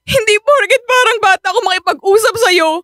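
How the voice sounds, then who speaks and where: A woman's voice: very high-pitched, a little fast, bright, forceful tone, no room sound, clean studio sound. A woman playing a childish, clingy young wife with a whiny, cutesy way of talking and a Filipino accent, in a radio drama. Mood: hurt, angry. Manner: cutesy baby talk, whiny.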